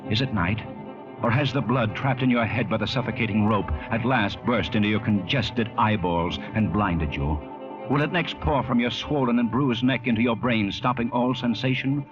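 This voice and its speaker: An actor narrating an old-time radio drama as a grave, intense storyteller with a deliberate, dramatic delivery. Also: male voice, lowish voice, music in the background, lightly acted. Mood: tense. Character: grave, intense second-person narrator of doom. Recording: muffled vintage radio recording.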